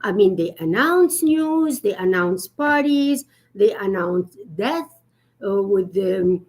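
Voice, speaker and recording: A woman's voice: medium pitch, expressive, slow, darkish tone. A woman speaking as an etiquette expert in a live-stream conversation, explaining earnestly with frequent fillers.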